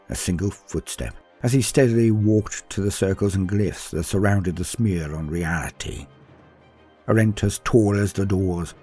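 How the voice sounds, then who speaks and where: A man's voice: sits low, dark tone, slightly rough. A man delivering dramatic scripted narration in a theatrical, grandiose manner as a lore host.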